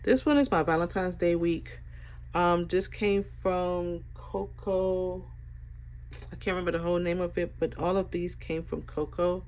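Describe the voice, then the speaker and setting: A woman's voice: lowish voice, a little slow. Stuffy-voiced woman vlogger. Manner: relaxed, rambling, many fillers. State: stuffy from a sinus infection. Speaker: planner vlogger. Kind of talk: casual planner flip-through.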